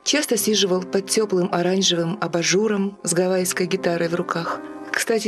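A woman reading scripted documentary narration as the presenter of a television portrait. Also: on the low side. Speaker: TV narrator and interviewer. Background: music.

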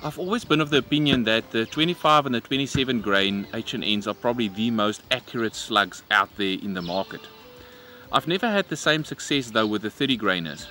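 A middle-aged man presenting a shooting vlog in a casual, expressive way, talking straight to his viewers. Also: medium pitch, slight background noise. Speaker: host of an airgun shooting channel.

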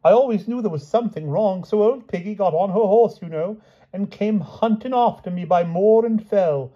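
Giddy, dithering king for a storybook: very high, wildly animated, blustering and full of questions. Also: normal speed, husky, muffled recording, heavily acted. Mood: high delight. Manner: blustering, chatty, repetitive questioning. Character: giddy, excitable, dithering old-fashioned English king.

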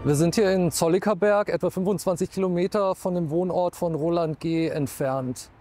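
A man's voice: high voice, fairly even intonation, normal speed, warm tone, soft background music. A man in his thirties or forties, a true-crime TV expert, retelling a case factually on camera outdoors into a lapel mic. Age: 30s or 40s. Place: outdoors in town.

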